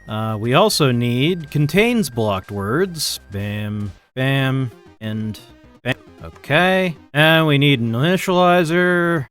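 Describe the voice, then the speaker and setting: A man talks through his programming on a live stream in an informal, sweary way, half to himself. His pitch sits in the middle for a man. Faint chiptune keygen music plays behind him. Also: a bit bright. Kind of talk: casual live coding commentary. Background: chiptune-style keygen music.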